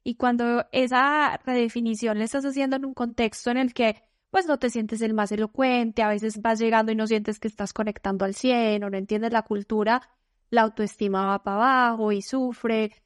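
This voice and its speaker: A woman podcast host asking reflective, empathetic questions. Her voice sits a little on the high side, and the recording is dry and close. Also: fairly even intonation, moderate pace, no room sound. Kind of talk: interview questions.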